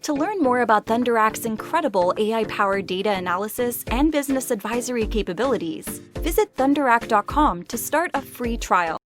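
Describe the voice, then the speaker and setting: A woman giving a scripted promotional voice-over with a smooth, polished read. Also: fairly high pitch, animated, fairly quick, few pauses. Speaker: ad narrator. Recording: voice-over over background music.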